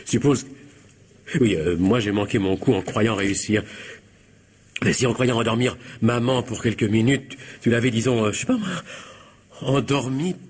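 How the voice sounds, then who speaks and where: An actor playing a flustered, guilty young son and husband in a French radio comedy-mystery, stammering and protesting nervously. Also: male voice, medium pitch, normal speed, long pauses, husky, close to an everyday voice. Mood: worried.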